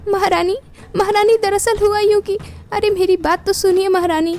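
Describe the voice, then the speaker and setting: A woman's voice: extremely high pitch, steady tone, moderate pace, darkish tone, faint music behind, voice pushed up. A woman voicing a young, flustered princess in a cartoon story, hesitant and pleading.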